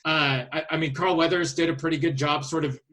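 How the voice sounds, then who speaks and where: A man with a slightly high voice talks in a casual podcast style. He is hesitant and halting, thinking aloud as he works through his list of picks. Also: steady tone, a bit bright. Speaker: podcast host. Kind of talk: casual chat, presenting a list of casting picks.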